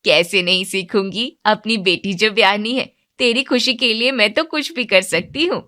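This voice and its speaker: A woman's voice: medium pitch, moderate pace, bright, forceful tone, lightly acted. A no-nonsense middle-aged mother acted by a woman narrator from a family cartoon tale. She is bossy yet devoted, speaking emphatically. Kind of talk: Hindi moral-story cartoon character.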